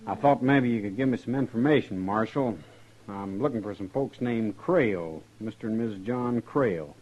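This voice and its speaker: An American actor playing a smooth drifter gunman in an old radio western, laconic and deliberate in his speech. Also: on the low side, darkish tone, highs cut off, a little hiss or hum, lightly acted. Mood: polite, deliberate. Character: smooth drifter gunman posing as long-lost son. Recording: vintage radio drama recording.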